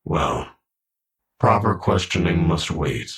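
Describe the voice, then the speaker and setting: A man narrating a dark sci-fi audio drama as a grave, brooding storyteller. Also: very deep voice, lively intonation, slow, heavily acted. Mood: wry gravity.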